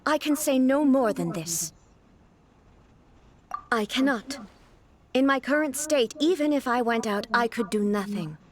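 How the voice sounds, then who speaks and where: A woman's voice: high-pitched, expressive, unhurried, long pauses, a little hiss or hum. A woman voicing an emotionless, obedient puppet ruler in a video game, speaking in a terse, solemn, formal manner. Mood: cold, detached.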